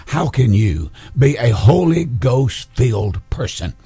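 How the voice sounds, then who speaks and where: Man, preacher, hoarse, raspy husky voice, emphatic sermon, repeats phrases, dry close sound. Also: medium pitch, sing-song, slow, dark tone, no room sound, faint music behind. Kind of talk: Pentecostal sermon teaching.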